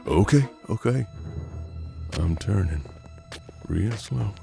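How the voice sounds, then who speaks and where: Weary frontier detective mentor: low, creaky, folksy, swinging widely in pitch, western audio drama. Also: male voice, lively intonation, slow, warm tone, faint music behind, heavily acted. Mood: wary, placating. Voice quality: gravelly. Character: weary, gruff older male bounty hunter and mentor.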